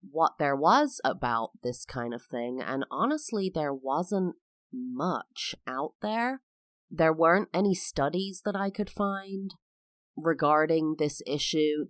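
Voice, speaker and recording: Female podcast host from England, very low pitch, chatty and explanatory, dry booth sound. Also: no room sound. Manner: personal, explanatory, with frequent fillers. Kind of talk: solo podcast monologue.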